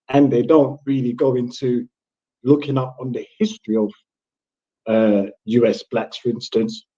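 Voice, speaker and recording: Mellow husky man on a muffled call. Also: mid-range voice. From born in England, grew up in Jamaica. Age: adult father with children. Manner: relaxed, rambling with many restarts. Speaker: panel guest. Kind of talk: casual livestream chat.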